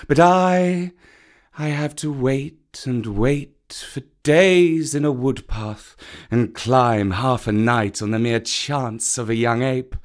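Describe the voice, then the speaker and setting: An actor reading a storybook and voicing a wary, proud old male python with a slow, courteous, hissing delivery. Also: medium pitch, lightly acted.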